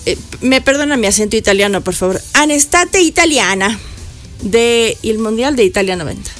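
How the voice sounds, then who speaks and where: Middle-aged woman radio host, slightly high voice, playful casual chat to listeners, faint music behind. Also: lively intonation, normal speed. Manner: chatty, playful, addresses listeners directly. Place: radio studio. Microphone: studio microphone.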